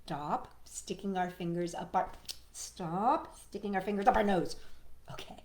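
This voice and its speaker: A woman's voice: lowish voice, a little slow, a little mellow, reverberant. A woman hosting a storytime for young children, reading and singing in a playful way and addressing her listeners directly. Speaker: children's storytime host with a puppet sidekick.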